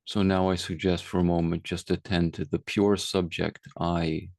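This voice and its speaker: A man guiding a meditation as a teacher, speaking calmly and unhurriedly with long pauses. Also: sits low, gravelly, no room sound, clean studio sound. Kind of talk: guided meditation, unscripted.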